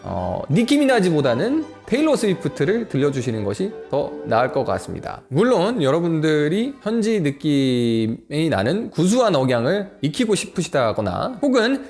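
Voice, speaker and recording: A man's voice: a little high, moderate pace, hardly any pauses. A man in his twenties telling a playful personal story to camera in a casual monologue. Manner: playful, lively, mimics rap-style English. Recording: faint music underneath.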